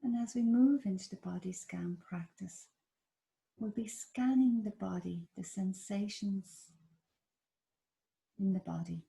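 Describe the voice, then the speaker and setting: A woman with an Irish accent leading a guided body scan meditation in a slow, soft, soothing manner. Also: medium pitch, creaky. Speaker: meditation teacher.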